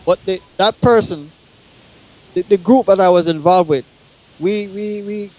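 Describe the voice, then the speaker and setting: A man recounting his own experience as a talk show guest, halting and repeating words as he explains. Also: sits high, a little mellow, poor audio. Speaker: guest musician and songwriter.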